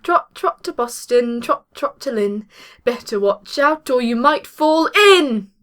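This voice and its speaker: A woman playing a kind, gentle young woman who is good with children, in an amateur audio drama. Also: fairly high pitch, expressive, slow. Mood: playful.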